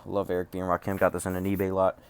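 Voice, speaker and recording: A man's voice: sits low, completely flat delivery, very fast, vocal fry, smooth. A man talking casually and offhandedly as a collector showing off his things, rambling and swearing freely. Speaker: music collector showing off cassette tapes.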